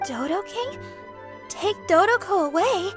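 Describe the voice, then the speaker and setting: A sweet teenage girl in a game. Her voice is very high and lilting, girlish and friendly, over faint music. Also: expressive, unhurried. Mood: friendly, puzzled. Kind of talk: scripted video game acting.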